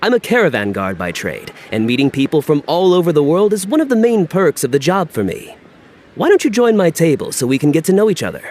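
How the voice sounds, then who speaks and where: A man's voice: a little high, very animated, fairly quick, darkish tone. An actor playing an easygoing, wry male caravan guard in a video game. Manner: wry, sociable.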